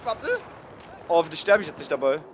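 A man's voice: fairly high pitch, lively intonation, fast talker, a loud crowd. A young man casually commentating a game with a friend, using slang and English exclamations. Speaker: gaming video commentator. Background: shooter game gunfire and ambience.

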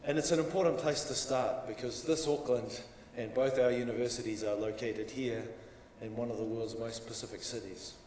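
A man in his forties delivers a formal welcome, measured and steady, letting each phrase fall away at its end. His mid-range voice is picked up from a distance. A long hall reverb and faint hiss surround him. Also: mid-range voice. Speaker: university welcome speaker. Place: conference room, at a lectern.

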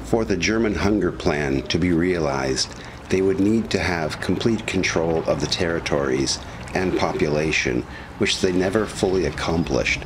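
A man with a low voice reads historical documentary narration. His delivery is measured and serious. Ambient vehicle noise runs behind him.

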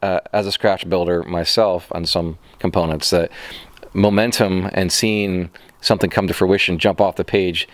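Deep-voiced host with a handheld mic. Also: male voice, fairly even intonation, no echo. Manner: brisk, rambling questions with restarts. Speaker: channel host interviewer. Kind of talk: interview questions. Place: open aircraft hangar.

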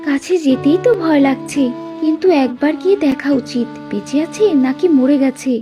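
A woman's voice: sits high, normal speed, natural voice. A woman voicing a polite, earnest, caring young castaway girl in a fairy-tale cartoon. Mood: anxious, curious. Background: music behind the voice.

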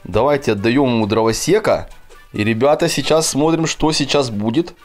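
A man's voice: mid-range voice, moderate pace. A man giving casual, chatty gameplay commentary for children, often addressing his viewers directly. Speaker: gaming video host. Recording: game music behind the voice.

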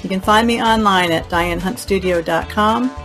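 A woman painter, a regular on an artists' podcast, speaking softly and hesitantly on a video call. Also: medium pitch, normal speed, soft background music. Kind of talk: casual video-call chat.

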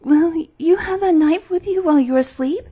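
A sweet, anxious little girl from a storybook, put on by a woman reader. Her voice is high, earnest and pleading. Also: very animated, a little fast, mellow, dull audio. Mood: frightened. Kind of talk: storybook character voice.

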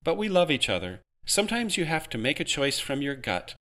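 A man's voice: on the high side, big pitch swings, a little fast, fairly bright tone, voice pushed up. A man voicing a defiant, sarcastic young rebel woman in a storybook reading. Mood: earnest. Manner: sarcastic, rousing.

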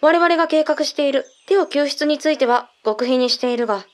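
Audio drama role: composed intelligence briefer played by a woman, high voice, blunt and matter-of-fact. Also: natural voice. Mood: composed, serious. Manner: measured, matter-of-fact, blunt masculine phrasing. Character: calm intelligence colleague briefing secret findings.

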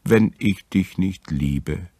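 A man voicing a choosy, discontented young woman in a fairy tale, with a husky voice. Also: low-pitched, slow pace, mellow, no echo, voice pushed up. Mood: reproachful.

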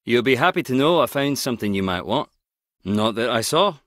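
Man acting a wry, animated game skip tracer. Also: medium pitch, a little fast, no room sound, clean studio sound, close to an everyday voice. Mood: casual. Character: wry, guarded male skip tracer, teasing yet protective. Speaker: video game voice actor. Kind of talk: video game character dialogue.

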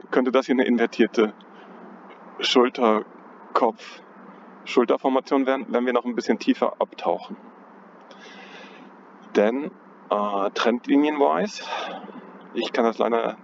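A man casually talking through trading charts, thinking aloud and hesitant, often correcting himself, recorded outdoors on a cold day. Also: medium pitch. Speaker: trading analyst. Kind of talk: casual chart analysis commentary. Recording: dull lo-fi audio with outdoor noise.

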